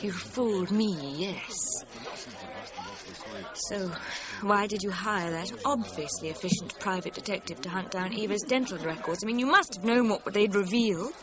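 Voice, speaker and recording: An actress playing a shrewd, accusing British journalist in a crime radio drama, controlled and probing. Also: female voice, medium pitch, normal speed, natural voice. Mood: cool, challenging. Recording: dull lo-fi sound with pub chatter behind.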